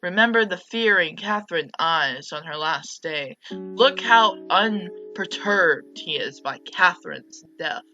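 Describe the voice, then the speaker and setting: A woman's voice: fairly low pitch, animated, takes it slowly, sharp tone, lo-fi sound, soft background music, heavily acted. A woman voicing a cynical, domineering mother from a visual novel, speaking in a stern, insistent, dramatic way. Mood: accusing. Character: cynical, accusing, domineering mother goading her child. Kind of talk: reading visual novel dialogue aloud.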